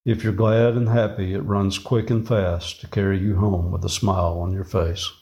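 A man's voice: sits low, flat delivery, moderate pace, a little mellow, lo-fi sound. A man reading lyrical, personal prose from a script. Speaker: reader, a farmer.

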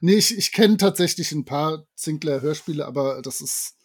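A man's voice: on the high side, moderate pace, darkish tone. A man co-hosting a casual podcast chat about audio dramas, telling things with emphatic exaggeration. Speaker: podcast co-host.

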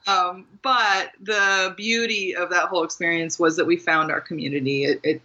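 Woman, middle-aged, from Maryland, interview guest, candid, self-deprecating, laughs a lot, bright voice, slightly dull sound. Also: on the low side, steady tone, moderate pace. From Maryland, USA. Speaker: guest author. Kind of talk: casual interview conversation.

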